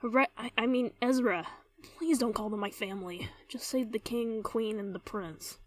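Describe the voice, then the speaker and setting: A woman voicing a reluctant, sincere young character in an animated drama. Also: fairly high pitch, normal speed, natural voice. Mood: exasperated.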